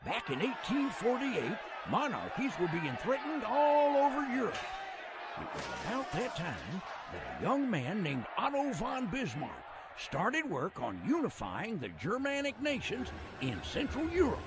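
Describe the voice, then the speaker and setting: A folksy old-timer of the American West narrating a game cutscene in a jovial drawl. His pitch sits high for a man and swoops enormously from phrase to phrase. The delivery is theatrical and colloquial, over a clearly noisy recording. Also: huge pitch swings, a little slow, heavily acted. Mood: folksy storytelling. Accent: American Southern or Western drawl.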